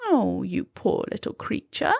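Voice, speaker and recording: A woman's voice: medium pitch, very animated, creaky, breathy edge, dry recording, studio quality, full character voice. A woman voicing a large storybook spider who pities and discourages, sighing and stretching out warnings. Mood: pitying. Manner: sighing, drawn-out emphatic warnings.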